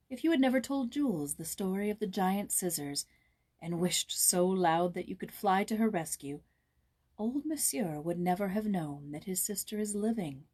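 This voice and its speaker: A woman's voice: fairly low pitch, a little mellow, natural voice. A woman voicing a tender, affectionate grown-up female cousin in a children's storybook reading.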